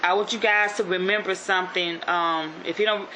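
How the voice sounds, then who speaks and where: A woman with a Southern Louisiana accent talks to her viewers in a chatty, rambling way, full of fillers and restarts. Her voice is bright and forward. A faint hiss of noise sits under the recording. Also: on the low side, fairly even intonation, a little fast, low-quality recording. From Shreveport, North Louisiana. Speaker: YouTube vlogger. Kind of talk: casual motivational vlog.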